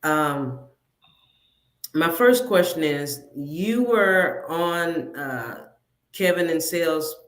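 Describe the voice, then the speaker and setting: Slow, deliberate guest in a roomy echo. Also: female voice, low voice, animated, a bit bright. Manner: deliberate, pointed questioning. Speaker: livestream guest. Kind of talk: casual livestream talk.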